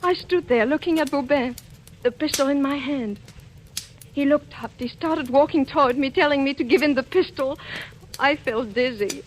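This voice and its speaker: A woman's voice: sits high, moderate pace, close to an everyday voice. An actress playing a guilt-ridden, tragic woman assassin in a 1940s radio suspense drama, in a melodramatic style. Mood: anguished, pleading. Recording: noisy old radio recording.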